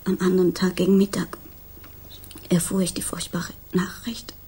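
An actress playing a grief-stricken, loyal young fiancée, soft, breathy and subdued, in a 1960s German radio crime drama. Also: female voice, fairly low pitch, level tone, moderate pace. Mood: troubled, subdued. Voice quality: soft, breathy, often near whisper. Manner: hesitant, subdued.

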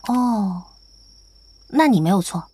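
A woman's voice: medium pitch, lively intonation, slow pace, dark tone, breathy edge. A woman playing a cool, frank young gamer in an audio drama, speaking in a matter-of-fact, inquisitive way. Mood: probing, composed. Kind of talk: Chinese audio drama acting.

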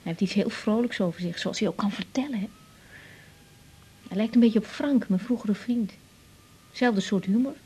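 An actress playing a sympathetic young woman in a radio drama, with a gentle, conversational, questioning manner. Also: medium pitch, normal speed, long pauses, breathy edge. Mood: reminiscing. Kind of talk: naturalistic radio drama dialogue.

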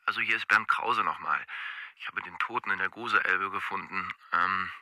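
A man playing an uneasy witness leaving a phone message in a radio crime drama, hesitant and halting. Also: low-pitched, bright, forceful tone, dry recording.

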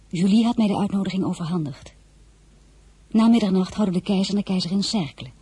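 A princess telling her story in a radio play, mellow and pretty breathy-husky, calm and measured. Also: lowish voice. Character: princess recalling her meeting with the emperor, composed. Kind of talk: first-person radio drama narration.

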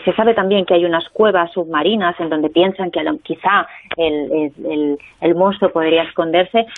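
A woman with a Spanish accent, a guest author in a phone interview, talking with many hesitations and restarts. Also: fairly low pitch. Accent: Spanish (Spain). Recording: tinny phone line.

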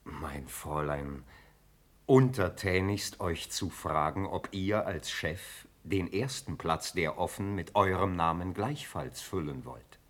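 An actor playing a deferential noble officer in a classical radio drama, speaking formal, earnest, measured verse. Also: male voice, sits low, fairly bright tone. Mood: respectful, earnest.

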